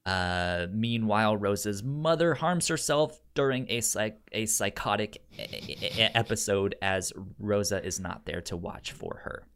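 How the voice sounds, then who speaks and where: Slow-talking man with a pronounced stutter. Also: lowish voice, a bit bright, clear, resonant tone. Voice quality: pronounced stutter with repeated sounds and syllables. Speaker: podcast co-host. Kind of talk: casual podcast pitch of TV shows.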